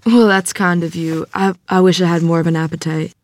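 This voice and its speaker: A woman's voice: on the low side, fairly even intonation, brisk, darkish tone, no room sound, clean studio sound, lightly acted. A woman playing an ailing, frank, devout small-town organist in a table read, with folksy, plainspoken phrasing. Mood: wry, frank. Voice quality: coughing.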